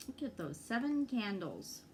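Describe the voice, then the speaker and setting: A woman reading a children's story aloud in an expressive way, pausing to check in with young listeners. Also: on the high side, a little hiss or hum. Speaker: fire inspector reading a children's book aloud.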